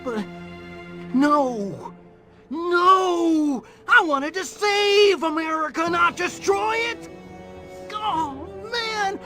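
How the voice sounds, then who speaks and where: An earnest but hapless hippie revolutionary in a game cutscene. He speaks high and slow, with a whiny, faltering, melodramatic delivery. Faint music plays behind him. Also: lively intonation, sharp tone, exaggerated voice. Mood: anguished. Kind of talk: video game cutscene acting.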